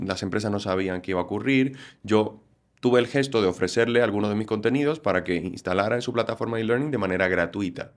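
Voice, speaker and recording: Dominican guy, galician accent, lively podcast guest, mid pitch voice. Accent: Galician-tinged Spanish. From Dominican Republic. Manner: animated, explanatory, acts out quotes. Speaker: communication trainer, guest. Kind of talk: podcast interview.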